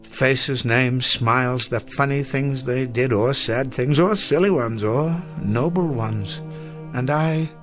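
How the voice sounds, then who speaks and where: A man's voice: mid-range voice, slow, faint music behind, close to an everyday voice. An actor playing a melancholy old male schoolteacher in a radio drama, with wistful, eloquent and formal old-fashioned phrasing. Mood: despairing, self-reproachful. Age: older. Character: weary, melancholy old male schoolteacher, bookish and self-reproaching. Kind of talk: dramatic radio play acting.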